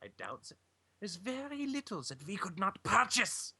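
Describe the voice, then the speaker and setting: A woman puts on a royal pony sister from a storybook. The German accent is theatrical and drifts toward French, over a bright, rough-edged voice with sweeping pitch. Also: big pitch swings, normal speed, heavily acted. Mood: stately. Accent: mock German, slipping toward French.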